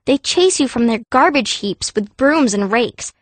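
A woman's voice: on the high side, lively intonation, unhurried. A woman voicing a fierce, cranky young girl mage, blunt and grumbling, in a first-person fantasy audiobook. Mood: bitter, insistent.

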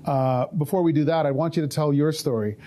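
Middle-aged guy moderating a panel, mellow dark voice, stumbles and restarts a lot, dry jokes. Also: a little high. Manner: halting, many restarts, dry humor. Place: stage of a conference room. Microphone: lapel mic.

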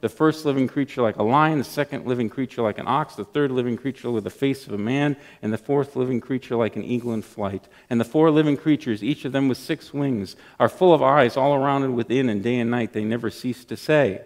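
A middle-aged man preaching a sermon from a church lectern, reading scripture and praying with earnest emphasis and pauses. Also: mid-range voice. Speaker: pastor. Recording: long church hall reverb.

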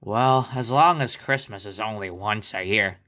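A man's voice: on the low side, normal speed, sharp tone. A man voicing a weary, matter-of-fact adult bird in a cartoon comic dub. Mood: weary, concerned. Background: faint music.

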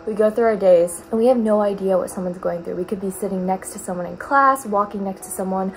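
A woman's voice: medium pitch, fast, slight background noise, poor audio. A young woman chatting to her camera as she goes through her day. She talks in a casual, encouraging way, with a mid-range voice and plenty of filler words. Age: young adult. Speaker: college student vlogger. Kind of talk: casual vlog talk to camera.

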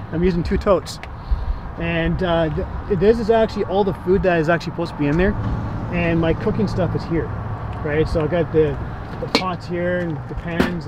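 A man chatting casually inside his parked minivan, rambling with many fillers and ending sentences with "right?". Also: sits high. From Canada. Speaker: interviewee. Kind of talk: casual chat. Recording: vehicle and street noise behind. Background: outdoor traffic ambience.

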